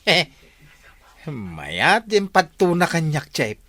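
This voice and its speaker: A man's voice: a little high, huge pitch swings, takes it slowly, pushed and bright, dry recording, exaggerated voice. An actor playing a gullible, coy male villager in Ilocano radio comedy, hesitant and confiding with a Filipino accent. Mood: coy, pleased. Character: coy, gullible male villager, secretive about his love life.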